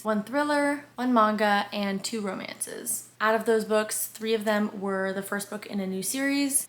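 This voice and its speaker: Woman book reviewer, voice going hoarse, chatty and eager, mid-range pitch. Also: fairly bright tone. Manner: chatty and enthusiastic, quick asides. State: hoarse, losing her voice. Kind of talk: casual monthly reading wrap-up.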